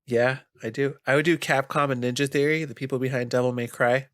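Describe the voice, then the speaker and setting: Male podcast host, nasal, mid-pitched, casual gamer chat, dry recording. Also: steady tone, brisk, a bit bright, no room sound.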